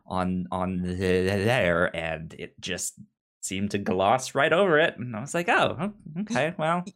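A man's voice: lowish voice, measured pace, a bit bright. A man co-hosting a podcast in casual review chat, often repeating and restarting words and acting out imagined lines as he explains. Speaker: podcast co-host.

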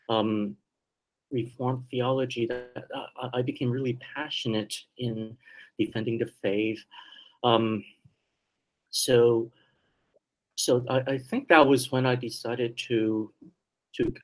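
Guest, male, middle-aged, slight Taiwanese accent, slow and halting, mid pitch. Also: poor audio. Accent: slight Taiwanese Mandarin accent. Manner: hesitant, many ums and restarts. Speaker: guest theologian. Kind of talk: interview answers.

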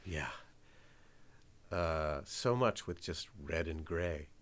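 A man's voice: rumbling low, lively intonation, takes it slowly, fairly bright tone. A man hosting a podcast, chatting casually and jokily, swearing now and then. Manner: laid-back, jokey, swears casually. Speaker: podcast host. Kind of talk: casual chat.

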